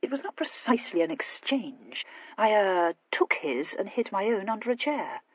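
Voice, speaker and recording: A woman voicing a suave, unruffled English earl with a measured, dryly amused manner in an audiobook story. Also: mid-range voice, slightly rough, no echo, highs cut off. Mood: dry, understated. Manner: calm, measured, dryly understated.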